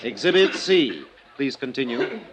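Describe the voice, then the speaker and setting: An actor playing a formal, methodical male prosecuting attorney in a vintage radio courtroom drama, questioning crisply on a noisy old recording. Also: mid-range voice, very animated, unhurried. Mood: formal, probing.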